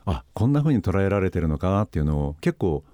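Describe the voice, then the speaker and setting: A man with a mellow voice hosts a conversational radio talk. He speaks quickly and with lively, varied intonation, yet stays polite and reflective as he explains his points. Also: low voice, dry recording, studio quality. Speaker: radio show host, marketing consultant.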